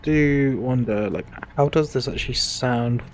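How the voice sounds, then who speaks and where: A man streaming a game, with a slightly raspy voice, rambling and thinking aloud into his desk mic. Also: mid-range voice, normal speed, a little hiss or hum. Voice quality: a bit raspy. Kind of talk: casual live-stream commentary.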